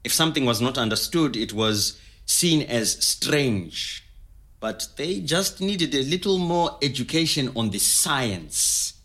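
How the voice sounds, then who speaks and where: A man's voice: medium pitch, animated, takes it slowly, bright, forceful tone, exaggerated voice. An actor playing an earnest, reflective middle-aged Zimbabwean man recounting his childhood in an interview-style audio drama, with a Zimbabwean accent.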